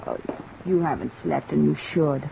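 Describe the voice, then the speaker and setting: An actress playing a gentle, guarded woman with a troubled past, soft and earnest in 1950s radio style. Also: deep voice, normal speed, gravelly. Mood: guarded, wistful. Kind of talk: 1950s radio drama acting. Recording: muffled old radio recording under rain noise.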